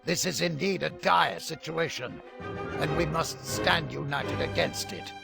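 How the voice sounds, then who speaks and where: A dignified king played by a man in a fantasy game cutscene. His fairly high voice is heavily creaky and measured. Loud music plays behind him. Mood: regal, grave. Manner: formal, measured.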